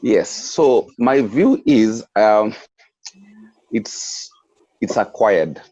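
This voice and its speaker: Through a dull, lo-fi connection, a Kenyan-accented man gives his view in casual discussion. His fairly low voice moves up and down in a lively, animated way, with very little room sound. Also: extremely slow, slightly rough, no room sound. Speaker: guest.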